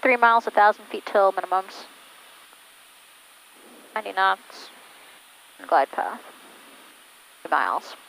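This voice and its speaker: A woman's voice: mid-range voice, expressive, slow, vocal fry, pauses often, a bit bright, a little hiss or hum, poor audio. A woman flying a small plane, giving clipped radio calls and talking herself through the approach over a headset intercom. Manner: clipped checklist-like callouts. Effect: aviation intercom and radio headset. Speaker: pilot flying instrument approach. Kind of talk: cockpit radio calls and self-narrated flying. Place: small airplane cockpit in flight. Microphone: aviation headset.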